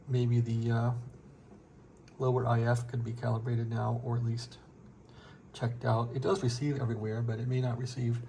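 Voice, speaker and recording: A gravelly-voiced American man talks casually through a hobby project at an ordinary male pitch. His delivery is unscripted, folksy and rambling. It is recorded on a handheld phone with faint noise behind him. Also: dark tone. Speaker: radio hobbyist showing a restoration project. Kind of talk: casual unscripted show-and-tell.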